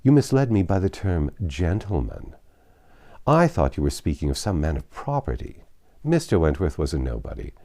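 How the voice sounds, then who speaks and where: A man's voice: sits low, big pitch swings, moderate pace, mellow. A man voicing a vain, haughty middle-aged baronet, pompous and dismissive, in a classic novel reading.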